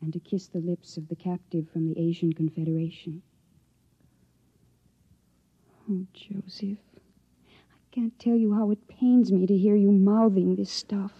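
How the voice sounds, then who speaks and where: A woman's voice: mid-range voice, steady tone, moderate pace, slightly rough. An actress playing an earnest, love-struck young woman researcher in a 1950s radio drama. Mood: tender, yearning. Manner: earnest, hesitant, emotional. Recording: dull lo-fi 1950s radio recording.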